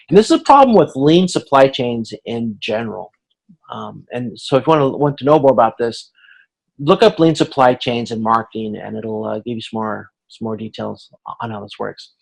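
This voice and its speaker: A man with a medium-pitched voice delivers an explanatory lecture as an instructor. His delivery is informal and halting, with repeated words and pauses as he works through each point. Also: poor audio. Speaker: university instructor.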